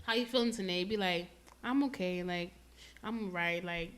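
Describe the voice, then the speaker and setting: A woman in her twenties chatting candidly on a casual podcast, expressive and slangy, swearing freely and repeating phrases for emphasis. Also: lowish voice, normal speed, a little hiss or hum. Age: 20s.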